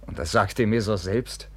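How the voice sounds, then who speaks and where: An actor playing a proud, brooding, penniless young knight in a classical radio drama, with wry, formal diction. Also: male voice, lowish voice, slightly rough.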